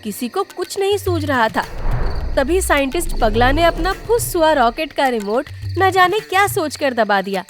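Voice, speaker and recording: A woman narrates a cartoon story from a script. Her voice sits high in pitch, and she delivers it as storytelling. Music is clearly present behind her. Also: very animated, normal speed.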